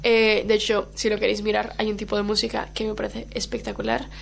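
A woman's voice: mid-range voice, flat delivery, quick pace, gravelly, slight background noise. A woman from Colombia whose first language is Brazilian Portuguese, chatting casually as a guest and laughing often. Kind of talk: casual chat.

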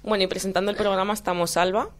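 A young woman hosting a studio radio show, introducing and questioning a guest with quick, laughing asides. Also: mid-range voice, level tone. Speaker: radio host. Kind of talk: casual radio interview.

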